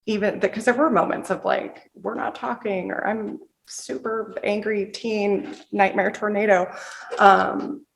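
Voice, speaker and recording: A woman's voice: medium pitch, steady tone, a little slow, gravelly, low-quality recording. An American woman author from the Pacific Northwest answering audience questions casually and candidly, with informal, slangy phrasing. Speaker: author at a book reading.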